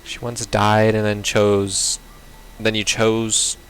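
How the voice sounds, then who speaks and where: A man's voice: fairly low pitch, completely flat delivery, slow pace, a bit bright, soft background music. A man reading visual novel text aloud and reacting casually, with a bemused, sweary manner. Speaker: gaming video player. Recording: clearly noisy background.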